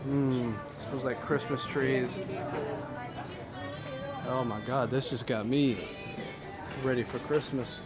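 A man's voice: mid-range voice, level tone, slow pace, long pauses, loud music behind the voice. A man vlogging as he walks through a large department store, chatting and easily delighted. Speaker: travel vlogger. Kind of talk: casual walkthrough vlog.

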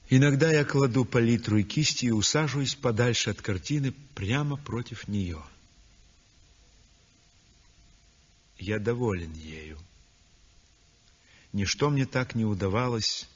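An actor playing a brooding, exhausted painter in a 1960s radio drama monologue, grave, introspective and intense. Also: male voice, medium pitch, slightly rough. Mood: troubled. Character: brooding, tormented, exhausted male realist painter. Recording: muffled 1960s radio recording.

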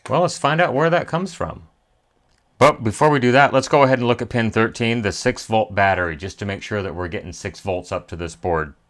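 A man's voice: fairly low pitch, a little fast, a bit bright. A man hosting a hands-on repair tutorial, talking methodically step by step and thinking aloud as he works. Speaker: repair channel host. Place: indoor electronics workbench.

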